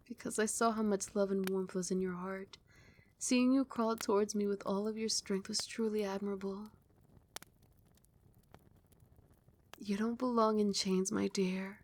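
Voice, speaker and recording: A woman voicing a doting, possessive vampire queen in an ASMR roleplay, speaking softly and breathily, often dropping into a whisper, with tender, regal endearments. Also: mid-range voice, steady tone, moderate pace, a little hiss or hum, close to an everyday voice. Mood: adoring. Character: doting, possessive vampire queen soothing her beloved pet.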